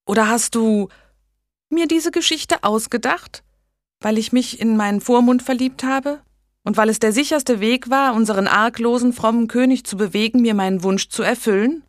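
A bold young lady, as a woman reader puts her on, pitched high and pressing with pointed questions. Her voice is frank and teasing, recorded close and dry. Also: moderate pace, no room sound, lightly acted. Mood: probing. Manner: pointed, probing.

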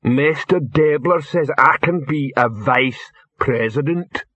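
A big, dim, proud troll henchman, acted by a male narrator in an audiobook. He drags his words out very slowly and simply, in a husky voice on slightly muffled audio. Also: mid-range voice, fairly bright tone, dry recording, pitched above the performer's own voice. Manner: slow, ponderous, simple. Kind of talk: audiobook character voice.

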